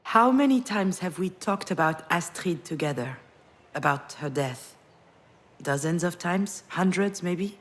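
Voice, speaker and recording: An actress playing a grieving, self-reproaching woman in a video game drama, speaking in an earnest, reflective, halting way. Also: lowish voice, animated. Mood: pained, searching. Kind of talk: dramatic visual novel voice acting with inner narration.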